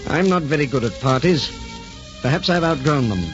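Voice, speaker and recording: An actor playing a proud, reserved British naval captain, formal and clipped, in an old radio drama. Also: male voice, on the high side, animated, normal speed, over music, close to an everyday voice. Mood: blunt, reserved. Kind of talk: 1940s radio drama acting. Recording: dull old radio recording.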